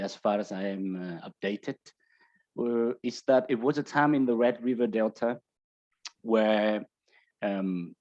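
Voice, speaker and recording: A man with a low voice and a Vietnamese accent gives explanatory answers as an academic guest. His delivery is hesitant, with halting phrases. The audio is somewhat dull and lo-fi. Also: darkish tone. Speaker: guest researcher.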